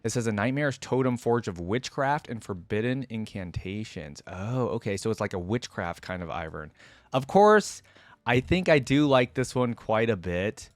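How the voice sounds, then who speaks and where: A man's voice: lowish voice, animated, normal speed, no room sound. A man hosting a reaction video with casual, informal commentary, thinking aloud as he goes.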